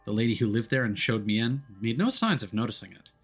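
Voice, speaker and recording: A man's voice: fairly low pitch, big pitch swings, fast, breathy edge, faint music behind. Man reading game text aloud expressively. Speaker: streamer playing a visual novel. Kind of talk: dramatic read-aloud of game text with casual asides.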